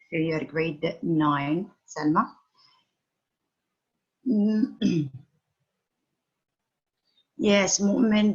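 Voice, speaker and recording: A middle-aged woman teaching an online English class over a phone video call, patiently questioning her students. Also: on the low side. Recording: dull lo-fi call audio.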